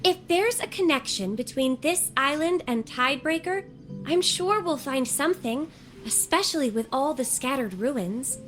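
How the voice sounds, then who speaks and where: An actress playing an earnest, gentle, scholarly young woman in a video game, soft-spoken, thoughtful and measured. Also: high-pitched, lively intonation, faint music behind. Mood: earnest, concerned. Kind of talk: scripted video game voice acting.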